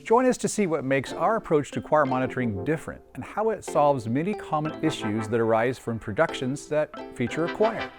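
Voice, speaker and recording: A man's voice: mid-range voice, big pitch swings, fairly quick, few pauses, music in the background. A man hosting a scripted promotional interview, presenting as an audio and video designer.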